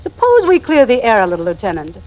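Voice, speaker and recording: An actress in 1940s radio style playing a poised, middle-aged mystery writer with a refined, articulate delivery and dry wit. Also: female voice, on the high side, wildly swooping pitch, fast, dull audio. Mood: dry, sparring. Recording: vintage radio drama with music.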